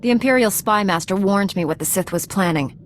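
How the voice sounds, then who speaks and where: A woman's voice: mid-range voice, a little fast, soft background music, close to an everyday voice. A woman playing a confident, principled young knight in a video game, composed and direct. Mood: resolute. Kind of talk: video game cutscene acting.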